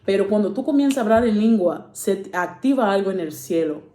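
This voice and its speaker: A woman whose first language is Portuguese, preaching informally and earnestly, often pausing on fillers and correcting herself. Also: medium pitch, expressive, warm tone, roomy sound.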